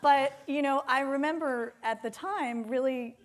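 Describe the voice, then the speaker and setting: A woman's voice: high-pitched, unhurried. A woman interviewing someone on stage in a conversational way, with hesitant, restarting phrasing. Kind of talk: live onstage literary interview. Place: theater stage, public talk.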